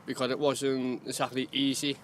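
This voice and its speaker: A man, a community gardener talking openly in short, halting phrases in an interview. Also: medium pitch, level tone, a little hiss or hum. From Liverpool, England. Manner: simple, halting, open. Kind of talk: interview answers outdoors.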